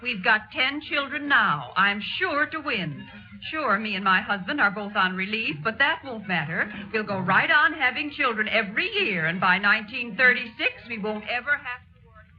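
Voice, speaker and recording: A woman's voice: a little high, expressive, normal speed, close to an everyday voice. A woman playing a confident, plain-spoken working-class mother, boastful, in 1930s radio drama style. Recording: muffled 1930s radio recording.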